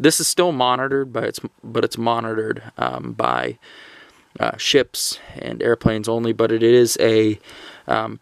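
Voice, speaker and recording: Gravelly man giving a casual gear review. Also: on the low side, no echo. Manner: halting, pausing mid-phrase. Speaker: gear and radio reviewer hosting a video. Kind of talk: casual product review talk, unscripted.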